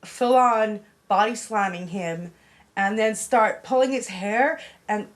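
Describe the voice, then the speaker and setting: A woman speaking as an interviewee in a mockumentary, hesitant and halting, with many fillers. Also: mid-range voice, natural voice. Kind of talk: mockumentary talking head.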